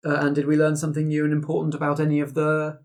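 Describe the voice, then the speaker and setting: A man's voice: fairly high pitch, completely flat delivery, rapid-fire, darkish tone. A man, hosting a tabletop role-playing debrief as game master. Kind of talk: hosting an actual play debrief.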